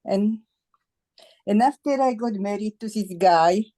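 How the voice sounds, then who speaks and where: An elderly woman from Slovakia with a Slovak accent, giving personal testimony haltingly in non-native English. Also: mid-range voice, dark tone. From Bratislava, Slovakia. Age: 80s. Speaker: Holocaust survivor giving testimony. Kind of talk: personal testimony in a group discussion.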